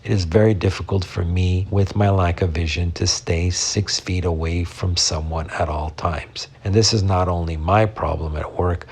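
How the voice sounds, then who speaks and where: A man from Canada with a low, husky voice speaks in a steady, earnest way. He delivers a prepared statement full of practical advice, like a guest reading his own piece. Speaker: guest, drugstore worker.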